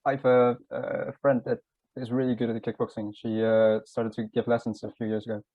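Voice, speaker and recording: Mellow, flat-voiced male interviewer. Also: mid-range voice, fairly quick, dry recording. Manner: relaxed and informal, with fillers. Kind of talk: casual mock job interview. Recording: dry, dull lo-fi audio.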